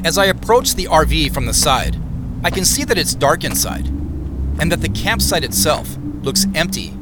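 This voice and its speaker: A man's voice: fairly high pitch, very animated, normal speed, heavy background noise. An actor playing an uneasy, wry everyman investigator in a naturalistic audio drama, confiding and hesitant, muttering to himself at times. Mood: tense, hushed narration. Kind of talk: naturalistic audio drama acting with first-person narration.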